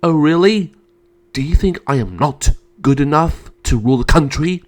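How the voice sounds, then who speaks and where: Man playing a cruel, vain tyrant emperor, animated pitch. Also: normal speed, close to an everyday voice. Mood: enraged. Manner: imperious, menacing, theatrical. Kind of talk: amateur dramatic storytelling.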